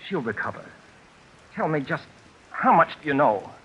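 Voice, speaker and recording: An actor playing a fussy, courteous, murderous schemer in an old-time radio suspense drama. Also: male voice, on the high side, sing-song, moderate pace. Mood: politely menacing. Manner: prim, courteous, hesitant. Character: fussy, polite, soft-mannered murderous male schemer. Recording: dull, hissy vintage radio recording.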